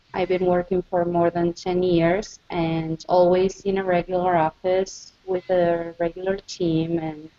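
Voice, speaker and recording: A woman from Argentina answering interview questions over a video call, relaxed and a little hesitant. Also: lowish voice, lo-fi sound. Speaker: guest, remote worker.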